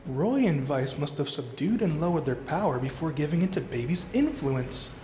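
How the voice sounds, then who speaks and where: A man playing a composed, analytical observer of fighters in an anime fan drama, measured and explanatory. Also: fairly high pitch, animated, a little mellow, lightly acted. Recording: echoey, with clear music behind.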